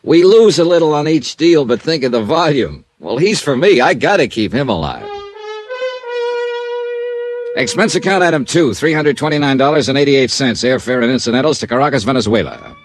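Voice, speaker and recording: A man's voice: mid-range voice, expressive, normal speed, over music, natural voice. An American man playing a wry, confident insurance investigator in a 1950s radio detective drama. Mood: wry, breezy. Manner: wry, breezy, clipped. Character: wry easygoing freelance insurance investigator, confident and quick-witted.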